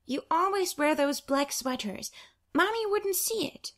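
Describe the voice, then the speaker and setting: A woman voicing an excited, playful little girl in an audiobook, with an eager childlike delivery. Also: extremely high pitch, a little slow, voice pushed up. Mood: coaxing. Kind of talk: audiobook character voice.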